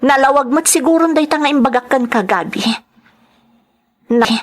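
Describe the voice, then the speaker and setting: Blunt, animated young mother played by a woman. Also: sits high, moderate pace. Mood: cold, defiant. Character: young new mother, cold, blunt, rejecting her baby. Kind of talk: Ilocano serial audio drama acting.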